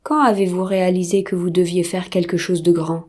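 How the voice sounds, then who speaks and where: A woman interviewer asking formal, scripted questions in a calm, even way. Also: lowish voice, fairly quick.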